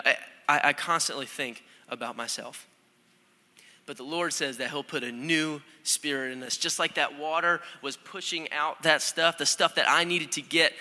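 A young man, a student pastor, preaching a conversational, energetic sermon with frequent restarts, recorded in a church sanctuary. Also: on the high side. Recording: long hall reverb.

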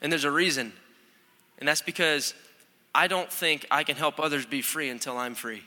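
A young man, a student pastor, preaching a conversational, energetic sermon with frequent restarts, recorded in a church sanctuary. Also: fairly high pitch, moderate pace. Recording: long hall reverb.

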